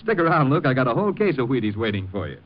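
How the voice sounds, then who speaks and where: A man's voice: mid-range voice, very animated, rapid-fire. A man working as a radio announcer, delivering scripted 1950s commercial patter. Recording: muffled old radio recording with faint hum.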